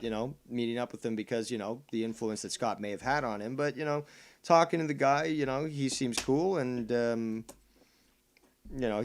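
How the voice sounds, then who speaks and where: A Canadian man whose first language is Spanish, hosting and arguing back at a clip in a combative, profane, sarcastic way. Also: mid-range voice. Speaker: streamer host. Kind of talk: heated commentary on a clip.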